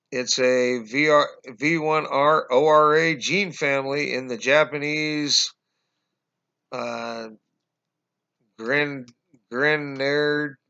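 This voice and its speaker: A man in his forties talking to viewers from home on a webcam, halting with fillers, his voice going hoarse. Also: medium pitch, sharp tone. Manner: halting, many fillers and restarts, addresses viewers as guys. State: losing his voice, coughing. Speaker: conspiracy vlogger. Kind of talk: casual explanatory monologue. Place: small indoor room at home. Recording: dull lo-fi webcam audio.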